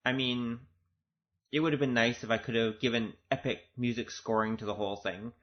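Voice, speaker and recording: A man's voice: mid-range voice, steady tone, brisk. A man speaking as an audio drama producer, giving an informal, earnest and conversational director's commentary. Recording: dull, lo-fi recording.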